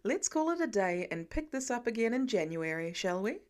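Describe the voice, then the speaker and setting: A woman's voice: medium pitch, very animated, normal speed, a little mellow, smooth, lightly acted. A woman narrator plays a self-assured, wisecracking middle-aged man in an audio story. He talks in a glib, mock-formal way, breezy and sure of himself. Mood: breezy, dismissive.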